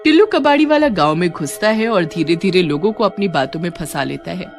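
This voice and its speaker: A woman's voice: on the low side, animated, brisk, soft background music. A woman reading scripted horror story narration as the narrator.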